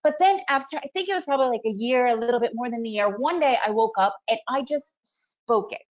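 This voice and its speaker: A woman's voice: on the high side, fairly quick. Chatty woman in her forties. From Soviet Union, raised in the US. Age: 40s. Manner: chatty, upbeat, frequent fillers and "right?" tags. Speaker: trading educator hosting a webinar. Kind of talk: casual presentation. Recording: dull lo-fi webinar audio.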